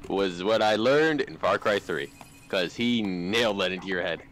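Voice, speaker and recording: A man's voice: sits low, animated, normal speed, noisy background. A young man chatting casually on a gaming stream, easygoing and encouraging, offering friendly advice. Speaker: stream guest. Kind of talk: casual voice chat.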